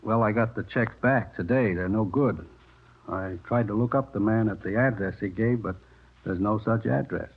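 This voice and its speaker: Anxious, deferential American deli owner, husky and creaky, tinny vintage radio drama sound. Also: male voice, on the low side, moderate pace. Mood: polite, worried. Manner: hesitant, deferential. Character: polite, anxious small delicatessen owner, male. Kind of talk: 1950s radio drama acting. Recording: thin, phone-like vintage radio recording.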